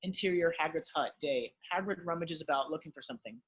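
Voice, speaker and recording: A man's voice: a little high, animated, quick pace, a bit bright, slightly rough. A man narrating screenplay directions at an amateur table read.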